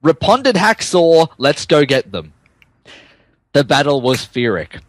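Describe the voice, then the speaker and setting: A man's voice: on the high side, big pitch swings, takes it slowly, no room sound. A man giving a dramatic comic reading of fan fiction as a podcast narrator, amused and tripping over the text.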